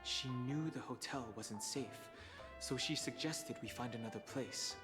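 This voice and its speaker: A man's voice: mid-range voice, unhurried, music in the background, lightly acted. An actor playing a composed, serious young man in a video game.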